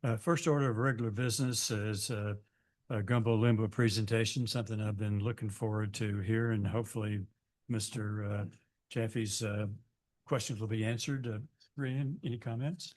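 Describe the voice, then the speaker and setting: Low-voiced man chairing a meeting. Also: steady tone, a little mellow. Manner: halting, with frequent fillers. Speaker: board chair running the meeting. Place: public meeting chamber.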